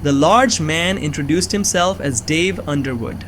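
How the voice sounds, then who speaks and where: A man's voice: fairly high pitch, expressive, measured pace, very noisy. A young man giving earnest, scripted first-person narration of a suspense story. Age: young adult.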